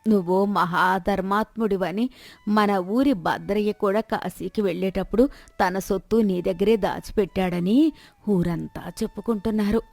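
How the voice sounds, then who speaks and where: A woman's voice: medium pitch, normal speed, vocal fry, darkish tone, no echo, close to an everyday voice. Woman acting a shrewd old village woman. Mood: pleading, plaintive. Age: older. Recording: background music bed.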